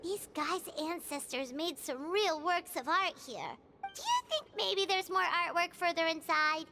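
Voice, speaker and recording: A woman's voice: extremely high pitch, lively intonation, measured pace, noisy background, full character voice. A woman voicing a tiny childlike girl sprite, an eager, chirpy and chatty companion in a video game. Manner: speaks of itself in the third person, chirpy. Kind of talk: video game character dialogue. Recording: clear music behind the voice.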